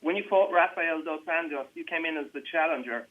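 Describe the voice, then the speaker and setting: A man's voice: a little high, brisk. A man working as a reporter, putting questions to fighters over a phone conference call. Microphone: telephone. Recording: dull radio-like phone line.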